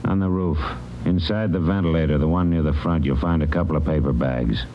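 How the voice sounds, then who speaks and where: An actor playing a callous, resigned ex-con thief in an old radio crime drama, curt and sullen. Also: male voice, a bass voice, fairly quick. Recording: dull, noisy vintage radio recording.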